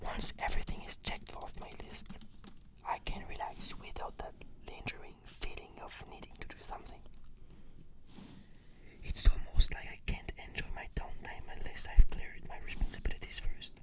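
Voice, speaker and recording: A woman whispering measured, earnest, scripted-sounding answers as a client in an ASMR therapy roleplay.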